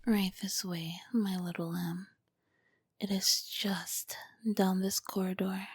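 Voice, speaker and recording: Casting: female performer as charming, dangerous royal vampire queen for ASMR roleplay; breathy whispered delivery, unhurried, courtly and flirtatious. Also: mid-range voice, little pitch movement, no room sound, exaggerated voice. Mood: courteous, inviting. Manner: slow, formal, flirtatious phrasing. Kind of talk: ASMR roleplay, scripted and intimate.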